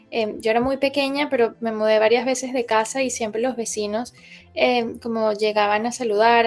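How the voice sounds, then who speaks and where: A young woman from Colombia, raised in Venezuela, giving a hesitant interview full of fillers and restarts. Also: a little high. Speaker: interviewee, founder. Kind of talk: interview testimony.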